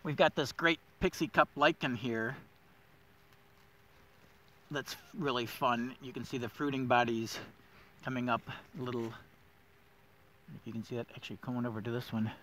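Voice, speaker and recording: A man's voice: mid-range voice, steady tone, a little slow, pauses often, fairly bright tone, a little hiss or hum. Enthusiastic middle-aged man outdoors. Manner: enthusiastic, thinks aloud with fillers. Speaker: woodland steward host. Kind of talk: casual nature walk guide. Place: winter woods outdoors.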